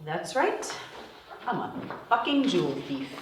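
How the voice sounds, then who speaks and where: A woman acts out a ruthless young female thief with a sardonic edge. Her voice is on the low side and very lively in pitch. It is a table read, picked up from a distance with faint noise. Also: measured pace, roomy sound. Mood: smug. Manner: brash, swearing, sardonic.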